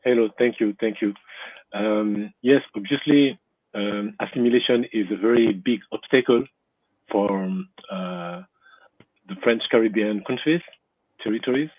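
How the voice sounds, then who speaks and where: Husky man on a tinny line. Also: on the low side, unhurried, no room sound. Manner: polite, hesitant, many "um"s. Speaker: audience member asking a question. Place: England. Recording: tinny phone-like line, dry.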